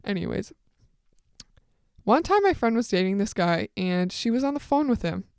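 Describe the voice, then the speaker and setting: A teenage woman hosting her own podcast, telling a rambling story with lots of side comments. She describes her own voice as on the deep side. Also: sing-song, moderate pace, darkish tone, clear, resonant tone, no room sound, clean studio sound. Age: teens. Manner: rambling, chatty, full of asides. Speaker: podcast host. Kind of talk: casual solo storytelling.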